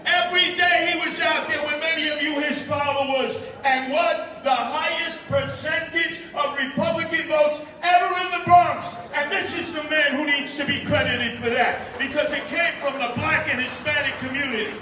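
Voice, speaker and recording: A man gives a rally speech in a very high, bright and forceful voice. He hammers his points with repetition and declamatory emphasis. The sound echoes heavily, picked up from far off. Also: fairly even intonation, moderate pace, few pauses, a faint crowd, a little hiss or hum. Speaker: rally speaker and radio host. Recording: echoey public address from far off.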